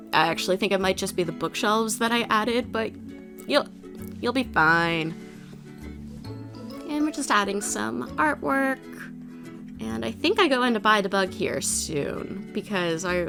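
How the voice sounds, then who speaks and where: A young woman speaks with a stuffy, nasal sound brought on by allergies. Her talk is loose and rambling, full of little fillers. Clear music plays underneath her voice. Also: medium pitch. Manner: chatty and rambling, frequent "like". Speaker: video creator. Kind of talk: casual commentary over a building video. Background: background music.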